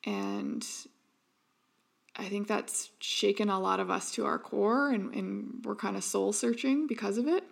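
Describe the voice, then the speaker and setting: A woman in her thirties talks through a personal story as a podcast host. Her voice is gravelly with a fry at the ends of phrases, and her pitch sits mid-range. She speaks earnestly and often pauses on ums and restarts. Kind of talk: reflective personal monologue.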